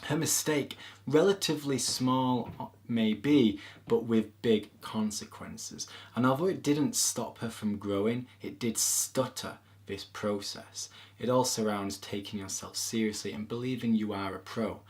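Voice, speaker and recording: A man's voice: mid-range voice, a little slow, few pauses. A man with a Yorkshire accent hosting an interview podcast, reading a playful introduction and chatting with his guest. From England. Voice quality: lively, playful storyteller. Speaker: podcast host. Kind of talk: casual interview with a read-out intro.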